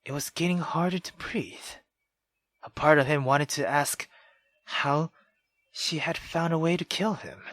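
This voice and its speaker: A woman playing a visual novel, reading it aloud expressively and excitably, stumbling now and then. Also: low voice, unhurried, no room sound. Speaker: let's player.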